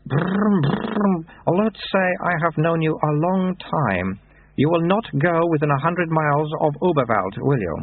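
A man's voice: a little high, expressive, a little slow, husky, no echo, pitched above the performer's own voice. A man voicing an ancient abbot in a baby's body, who slips into baby babble mid-sentence while giving orders. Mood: babbling. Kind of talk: audiobook character reading. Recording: dry, tinny phone-like sound.

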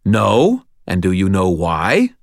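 A man reading an audiobook puts on a stubborn, forceful hero. He is tight and commanding, with emphatic swings in pitch, and the sound is close and dry. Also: wildly swooping pitch, slow pace, creaky, no room sound, full character voice. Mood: fierce. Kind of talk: audiobook character acting.

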